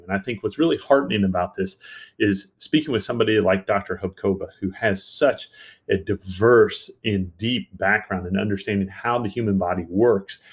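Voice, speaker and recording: A man co-hosting a podcast, introducing the episode in a rambling, enthusiastic way with many fillers. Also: fairly low pitch. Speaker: podcast co-host.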